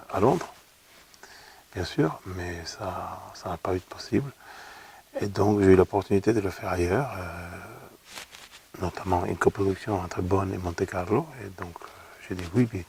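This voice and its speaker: Gravelly low man with an Argentine accent. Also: a little mellow, a little hiss or hum. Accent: Argentine Spanish. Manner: thoughtful, repeats words while searching for them. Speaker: opera singer guest. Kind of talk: relaxed interview talk. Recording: voice from a distant mic.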